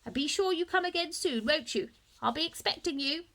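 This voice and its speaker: A woman's voice: sits high, animated, fairly quick, a bit bright, pitched above the performer's own voice. A woman voicing a kindly, hospitable old lady in a children's storybook. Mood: fond.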